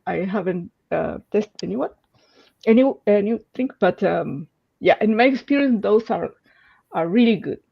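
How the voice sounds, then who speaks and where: Slow, hesitant woman. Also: mid-range voice, vocal fry, dull audio. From Chile. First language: Spanish. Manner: hesitant, searching for English words, many fillers. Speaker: teacher. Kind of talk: casual chat on a writing stream.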